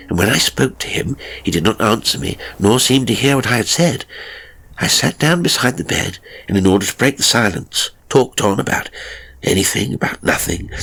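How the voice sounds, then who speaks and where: A man narrating a first-person horror story dramatically, in a measured, suspenseful way with deliberate pauses. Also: lowish voice, creaky, a bit bright, breathy edge, poor audio. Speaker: audiobook narrator.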